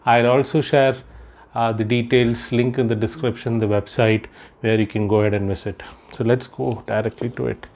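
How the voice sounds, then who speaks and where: A man's voice: medium pitch, little pitch movement, moderate pace, a little mellow. A man doing a casual unboxing vlog indoors, thinking aloud with lots of fillers as he handles the product. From India. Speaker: unboxing vlogger. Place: indoors, room with patterned floor rug.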